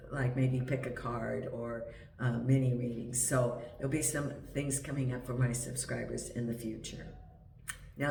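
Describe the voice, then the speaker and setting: A man with a croaky, gravelly voice from a cold talks through a tarot spread in an easygoing, rambling way. He sits back from the mic in an echoey room, with a faint hiss underneath. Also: medium pitch, darkish tone, distant mic. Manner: chatty, meandering, with many ums. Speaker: tarot reader. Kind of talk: casual tarot reading to camera. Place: home in Portugal.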